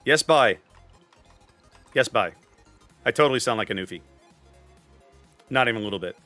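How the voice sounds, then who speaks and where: Bright-voiced man live-streaming a game. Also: medium pitch, lively intonation, moderate pace. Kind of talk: casual live gameplay commentary and chat with viewers. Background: faint game music.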